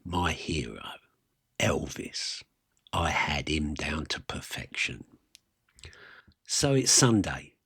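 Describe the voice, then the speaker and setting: A man with a husky voice performing a wry, self-mocking rock and roll fan's conversational monologue about his youth. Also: sits low, fairly bright tone, no echo, clean studio sound, heavily acted. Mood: wistful, self-mocking. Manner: colloquial, self-mocking, reminiscing. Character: Johnny, wry music-mad eighteen-year-old English rock and roll poser. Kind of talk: first-person dramatic monologue, conversational storytelling.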